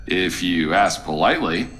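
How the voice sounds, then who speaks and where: A man's voice: low voice, a bit bright, slight background noise, exaggerated voice. A man playing a smug, chipper AI virtual captain of a space cruiser in an audio drama, talking like a self-satisfied announcer. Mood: teasing. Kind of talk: scripted audio drama acting.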